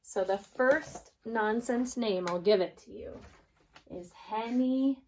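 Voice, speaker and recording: A woman's voice: on the high side, slow, a little mellow, slight background noise, poor audio. A woman preschool teacher reading a storybook aloud for young children, explaining things expressively as she goes.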